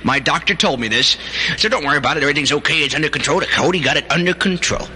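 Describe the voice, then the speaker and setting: A man's voice: a little high, racing, bright, forceful tone, slightly rough. An American man hosting as a 1970s radio DJ, bantering in a cocky, theatrical, teasing way. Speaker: radio DJ and show host. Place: radio station studio. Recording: noisy 1970s radio aircheck tape.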